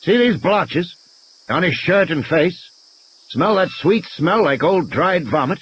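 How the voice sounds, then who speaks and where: A man acts out a blunt, sardonic male game warden for an audio story. The voice is lifted above a natural talking pitch and swings widely, unsentimental in manner, with faint noise and nature sounds behind it. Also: big pitch swings, takes it slowly, fairly bright tone, exaggerated voice, poor audio. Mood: grim, matter-of-fact. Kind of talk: audiobook character acting.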